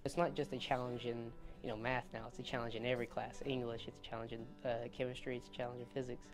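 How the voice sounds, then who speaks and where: A man's voice: mid-range voice, flat delivery, quick pace, over music. A teenage boy, a student leader, speaking earnestly in a studio interview. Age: teens.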